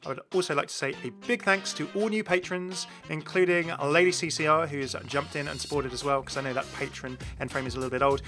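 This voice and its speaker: Bright-voiced man hosting a gaming video. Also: fairly high pitch, steady tone, fast talker, hardly any pauses, soft background music. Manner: chatty, enthusiastic, exclaiming. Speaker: host of a gaming channel. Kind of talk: casual gameplay showcase commentary.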